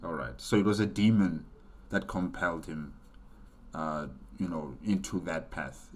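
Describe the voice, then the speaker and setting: A man giving casual reaction commentary as host, halting as he thinks aloud. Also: sits low.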